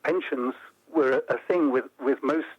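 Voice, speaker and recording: An older man from the United Kingdom, a guest on a radio interview, explaining policy in a measured, step-by-step way. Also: mid-range voice, slightly rough, no echo, muffled recording.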